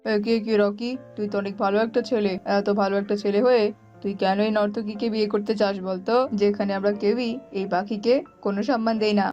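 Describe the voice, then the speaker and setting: A woman's voice: mid-range voice, level tone, fast, dark tone, lightly acted. A woman voicing a stern, domineering male village-chief bird in a cartoon, scolding emphatically. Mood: stern disapproval. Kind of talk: cartoon dubbing. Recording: muffled audio with background music.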